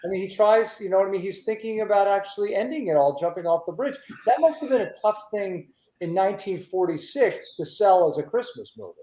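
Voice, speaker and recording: A man's voice: high voice, expressive, brisk, mellow. A man hosting a conversational interview in an effusive way, often repeating his phrases as he goes. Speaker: host. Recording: echoey room sound.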